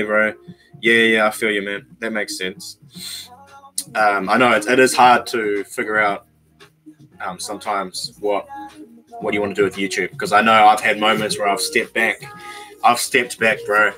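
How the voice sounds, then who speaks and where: A relaxed young man talks to his viewers on a stream, his voice low-pitched and bright, with a slangy, easygoing flow. Faint music plays behind him in a small bedroom. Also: poor audio. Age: young adult. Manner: laid-back, slangy, calls viewers bro and man. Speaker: YouTuber reading live chat. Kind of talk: casual livestream chat with viewers.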